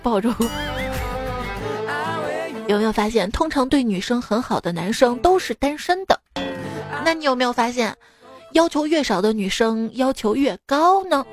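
A woman's voice: fairly high pitch, lively intonation, moderate pace, long pauses. Playful young woman host over music. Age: young adult. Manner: playful, teasing, laughs at her own jokes. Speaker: radio joke show host. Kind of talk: reading jokes with laughs, casual radio talk. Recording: music bed under the voice. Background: background music.

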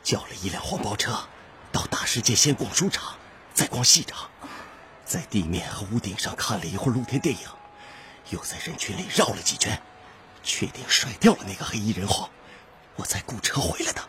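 An actor playing a nervous, self-protective husband and hunted conspirator in a Chinese radio drama, theatrical and jittery. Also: male voice, on the low side, big pitch swings, brisk, sharp tone, slight background noise. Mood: tense recounting.

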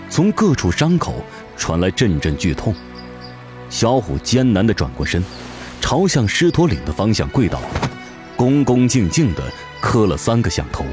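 A man delivering scripted narration as a voice actor, in a dramatic, measured storytelling manner. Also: on the low side. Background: music.

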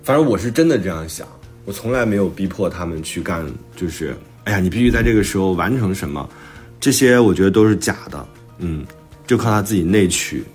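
A man's voice: low-pitched, animated, fairly quick, darkish tone, faint music behind. A man speaking as a guest author in a casual conversation, reflective and self-deprecating. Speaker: author guest discussing a new book.

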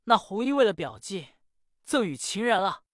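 A woman's voice: mid-range voice, moderate pace, no echo, studio quality, lightly acted. A woman putting on a raging old squire. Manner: scolding, shouting outbursts. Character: furious old male squire, head of house.

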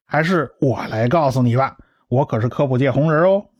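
A man's voice: mid-range voice, fairly quick, a little mellow, no echo, dull audio, lightly acted. A man playing a cocky, talkative scientist in a radio drama, with a blustering, explanatory way of talking. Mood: chatty, smug. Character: cocky, talkative male scientist and science populariser, brash and lively. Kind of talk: Chinese radio drama acting.

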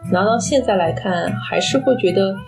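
A woman's voice: mid-range voice, normal speed. A woman talks through a film as a podcast co-host, with music playing behind her. She is emphatic and opinionated, casual in style, and slips English phrases into her talk. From Shanghai, China.

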